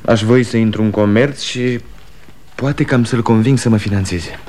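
A man's voice: on the low side, darkish tone, lightly acted. A man playing a guarded grown son. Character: grown son, restless, defensive. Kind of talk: 1960s radio drama acting.

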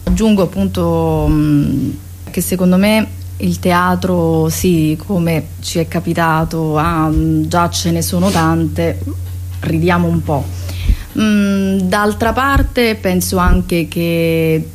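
A woman's voice: fairly low pitch, steady tone, takes it slowly, darkish tone, faint music behind. A woman, a stage actress, talking spontaneously in a radio interview, correcting herself and digressing as she goes.

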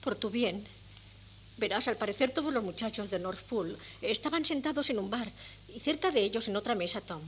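A woman's voice: a little high, normal speed, a little hiss or hum, natural voice. An actress playing a fretful, doting middle-aged mother, melodramatic and fussing, in 1970s radio theatre. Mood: worried.

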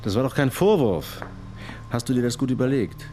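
An actor playing a level-headed police detective in a radio crime drama, speaking in a measured, terse, firm way. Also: male voice, medium pitch, animated, mellow, a little hiss or hum, close to an everyday voice. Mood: careful, concerned. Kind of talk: naturalistic German radio crime drama acting.

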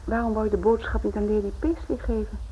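A woman acting in a Dutch radio play as an anxious wife and worried mother, fretful and expressive. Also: mid-range voice, quick pace, lightly acted. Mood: brisk, motherly. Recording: dull old radio recording with faint hiss.

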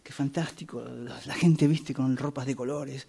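A man acting a pensive, weary waiting passenger in a radio drama, halting and repeating his phrases. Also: mid-range voice, fairly even intonation, gravelly, dark tone, breathy edge. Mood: hopeful, wide-eyed. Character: brooding, weary man waiting years for a train.

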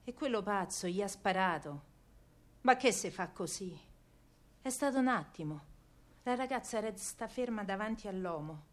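A woman's voice: mid-range voice, expressive, moderate pace, natural voice. An actress playing a feisty working-class woman of wartime Rome, telling her story in an earthy, colloquial Roman accent, as in a radio drama. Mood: hushed, grief-stricken. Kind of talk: dramatic first-person radio monologue in dialect.

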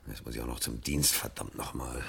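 A man's voice: very deep voice, steady tone, a little fast, vocal fry, fairly bright tone, heavily acted. An actor playing a troubled, exasperated fireman husband in a 1970s radio play, muttering to himself with outbursts.

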